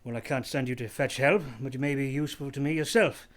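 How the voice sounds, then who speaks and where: An actor playing a proud, brusque gentleman master of a house in a radio play, with a curt, imperious and halting manner. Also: mid-range voice, fairly even intonation, close to an everyday voice. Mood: curt, questioning.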